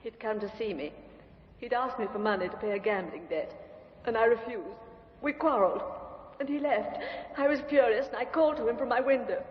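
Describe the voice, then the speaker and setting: A frightened young defendant in the dock, voiced by a woman speaks high and soft, her breathless words half pleading. The old radio recording is dull and roomy, with a long hall echo around her. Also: fairly quick, natural voice. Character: young woman on trial for shooting her lover. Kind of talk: 1950s radio drama acting.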